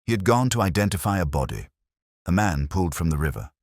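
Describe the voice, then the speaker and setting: A man voicing a brisk Victorian detective in a terse, formal, probing way for a storybook. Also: low voice, sing-song, a little mellow, dry recording, studio quality, pitched above the performer's own voice, full character voice. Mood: probing, intent.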